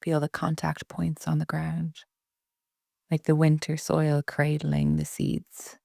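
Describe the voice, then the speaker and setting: A woman leading a guided relaxation meditation in a soft, breathy, soothing way with long pauses. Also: low-pitched, monotone, no echo, studio quality. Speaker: yoga nidra meditation guide.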